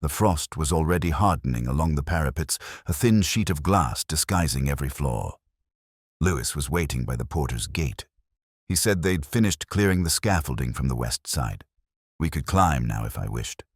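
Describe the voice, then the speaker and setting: Very deep, brooding detective played by a man. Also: animated, breathy edge, dry recording, clean studio sound, heavily acted. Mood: brooding, reflective. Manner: measured, literary, solemn. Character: brooding, melancholy, cerebral male English police detective. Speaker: narrator. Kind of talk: first-person detective story narration.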